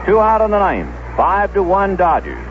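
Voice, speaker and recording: A man doing 1970s radio baseball play-by-play, measured and descriptive, telling small stories between pitches. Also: wildly swooping pitch, noisy background. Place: stadium broadcast booth. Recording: dull lo-fi vintage AM radio broadcast.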